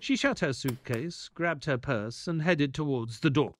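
An actor playing an earnest detective's sidekick who also narrates the story of an audio play expressively. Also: male voice, fairly high pitch, unhurried, close to an everyday voice. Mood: narrating.

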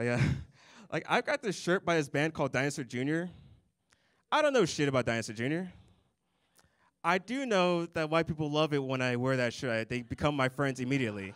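A man's voice: a little high, clean tone, no room sound. Young man doing dry stand-up. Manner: deadpan, dry delivery with chuckles at his own jokes. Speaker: stand-up comedian. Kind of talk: stand-up set. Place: comedy club stage. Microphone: handheld mic.

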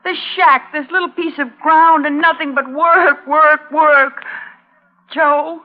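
A woman's voice: high-pitched, steady tone, takes it slowly. An actress playing an anxious, pleading homesteader wife and mother in an earnest old-time radio drama style. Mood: distraught, pleading. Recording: muffled, slightly noisy vintage radio recording.

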